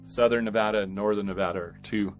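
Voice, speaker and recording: A man's voice: lowish voice, fairly even intonation, fast, soft background music. A middle-aged man with an American accent, talking casually as an interview guest in hesitant, meandering phrases. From northeastern California, United States. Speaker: podcast guest, author and pastor.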